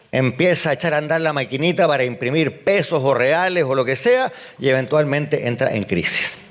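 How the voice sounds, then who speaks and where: An older man giving a conference keynote as an economist, emphatic, firing rhetorical questions and answering them at once. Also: mid-range voice. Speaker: economist speaker. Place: event hall.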